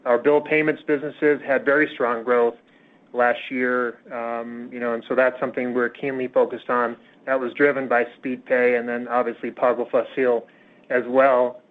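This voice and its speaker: A man speaking as a finance executive, answering questions on an earnings call in a measured, hesitant way with pauses. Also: mid-range voice, flat delivery. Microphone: phone conference call. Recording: tinny, narrowband conference phone line.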